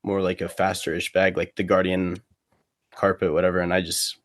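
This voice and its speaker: A man chatting casually as a podcast guest, rambling and halting, with plenty of slang. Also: low-pitched, monotone, no echo. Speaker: guest, competitive cornhole player.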